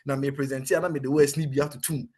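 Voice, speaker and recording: Husky Ghanaian soldier, earnest and animated. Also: male voice, medium pitch, racing. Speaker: serving soldier. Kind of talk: conversational interview, mixing Twi and English.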